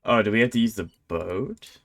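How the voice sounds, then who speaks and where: A man's voice: lowish voice, moderate pace, a bit bright. A man giving casual live gameplay commentary as a streamer, rambling and thinking aloud. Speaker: game streamer.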